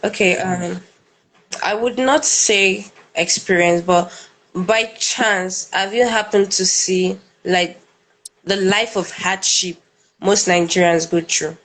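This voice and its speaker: Guest woman, slow and deliberate questioner. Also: medium pitch, a bit bright, low-quality recording.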